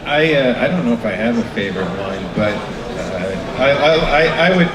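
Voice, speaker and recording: A man's voice: mid-range voice, animated, moderate pace. A man on a convention panel, rambling and hesitant as he answers. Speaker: panel guest. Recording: echoey hall, distant mic, crowd.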